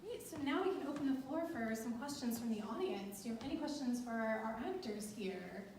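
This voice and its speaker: A woman's voice: a little high, expressive, fairly quick, background noise. A woman moderating a post-show panel, asking questions in a hesitant way as she searches for words. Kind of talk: moderating a talkback. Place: theater stage. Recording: echoey hall from far off.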